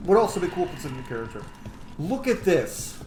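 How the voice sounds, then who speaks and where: A man's voice: on the high side, sing-song, a little fast, reverberant, a little hiss or hum, rough recording. A man giving casual, sweary gameplay commentary, reacting aloud as he plays. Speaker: video game streamer.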